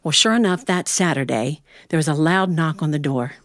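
An American woman storyteller from Ohio telling a tale live to listeners, in an animated, folksy way with dramatic pauses and asides. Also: low-pitched. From Ohio, United States.

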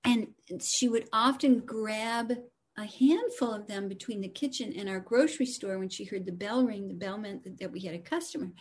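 Female guest storyteller, medium pitch, casual and animated anecdotal delivery. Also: normal speed. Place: at home.

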